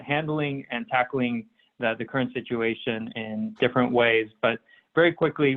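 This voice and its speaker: A man moderating a business webinar panel. He pauses often between phrases. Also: medium pitch. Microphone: remote call audio. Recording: tinny phone-like line.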